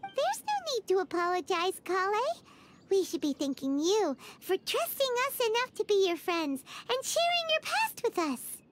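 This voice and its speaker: Squeaky, excitable tiny fairy companion. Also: female voice, moderate pace, noisy background. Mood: caring, sincere. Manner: speaks of herself in third person, exclamatory. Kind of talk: anime-style video game voice acting. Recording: music playing behind the voice.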